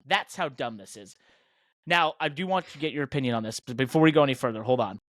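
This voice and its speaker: A man's voice: mid-range voice, quick pace, no echo. An American man delivers opinionated motorsport podcast talk in a mid-range voice. He is emphatic and indignant, leaning hard on his points like a seasoned host on a rant. The sound is dry, with very little room in it. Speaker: podcast host and professional race car driver.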